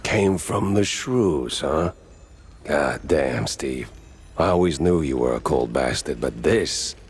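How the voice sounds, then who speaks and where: A man voicing a world-weary, cynical mouse private eye in a 1930s noir game, with a gruff American accent and wry, slangy delivery. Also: very deep voice, animated, slow, full character voice. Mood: accusing. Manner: wry, hard-boiled, slangy. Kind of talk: 1930s noir detective acting.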